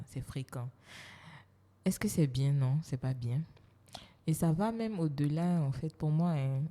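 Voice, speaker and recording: Low, mellow-voiced woman interview guest. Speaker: guest, human resources specialist. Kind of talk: casual interview. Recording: long hall reverb.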